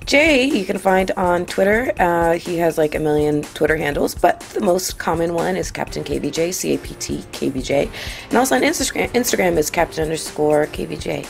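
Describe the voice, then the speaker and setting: Bubbly hoarse-edged woman podcast host. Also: lowish voice, fairly quick, soft background music. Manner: bubbly, excitable. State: slightly hoarse. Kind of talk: casual podcast chat. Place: restaurant on the water.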